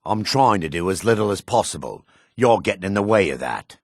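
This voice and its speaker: A man voicing a gruff, dry-mannered dark elf warrior and townsman in a fantasy video game, with a gravelly, husky voice. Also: deep voice, big pitch swings, brisk, no echo, full character voice. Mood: disdainful, weary. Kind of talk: video game character voice lines.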